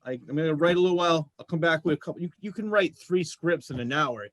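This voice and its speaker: A man's voice: fairly high pitch, fast talker, no echo. A man hosting a casual interview, putting questions to a guest in a conversational way. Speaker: interviewer and show host.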